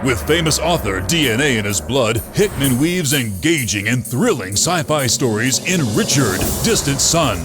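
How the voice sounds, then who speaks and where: A man's voice: mid-range voice, sing-song, moderate pace, few pauses, music in the background. A man reading a scripted promotional advertisement as an announcer. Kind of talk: promotional audiobook ad read.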